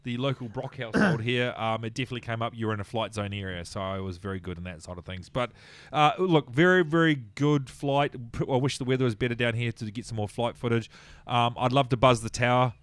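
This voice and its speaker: A man's voice: medium pitch, no room sound. A man with a New Zealand accent co-hosting a tech podcast, chatty and jokey. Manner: chatty, jokey, quick asides. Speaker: podcast co-host. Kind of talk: casual tech chat.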